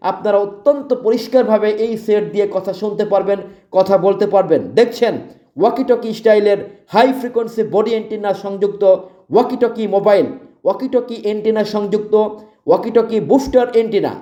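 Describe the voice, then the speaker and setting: A man with a Bangladeshi accent delivering an emphatic, repetitive promotional sales pitch as a product advertiser. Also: steady tone, quick pace, mellow. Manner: emphatic, repetitive, listing features.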